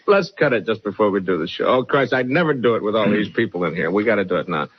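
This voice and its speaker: A man's voice: on the low side, animated, fast, breathy edge. A man, a radio performer, talking off script in the studio with an exasperated, salty manner. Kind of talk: unscripted casual studio talk. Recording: muffled vintage studio recording.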